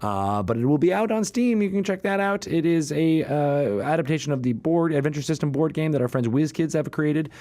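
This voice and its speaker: Man hosting, halting and rambling. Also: fairly high pitch, mellow. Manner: halting, rambling, self-correcting delivery. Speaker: podcast host. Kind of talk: casual announcements and banter.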